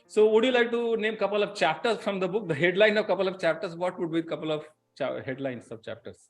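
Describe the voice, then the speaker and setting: Fast-talking man, effusive host. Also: high-pitched, soft background music. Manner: effusive and praising, laughs easily. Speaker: interview host. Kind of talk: unscripted conversational interview.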